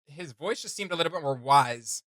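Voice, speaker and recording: A bright, forward male voice, recorded very dry and close. He is a reaction show host who talks in a casual, chatty way, pitched a little high for a man, with playful informal asides. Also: expressive, a little fast, no room sound.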